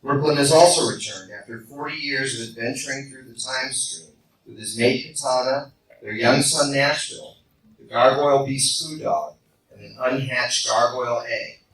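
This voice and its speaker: A man's voice: medium pitch, normal speed, fairly bright tone. A man narrating and announcing a live radio play at a convention, recorded from the audience. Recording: very echoey, distant fan recording, noisy.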